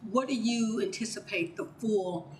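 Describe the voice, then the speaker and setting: A woman's voice: a little high, normal speed, darkish tone, slight background noise, rough recording. A woman council member asking direct, polite questions at a city council meeting.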